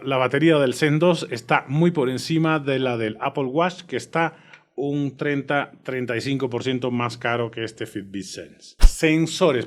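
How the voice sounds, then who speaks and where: A man with a Cuban accent giving a conversational, enthusiastic product review from his home studio. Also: mid-range voice. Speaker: tech reviewer.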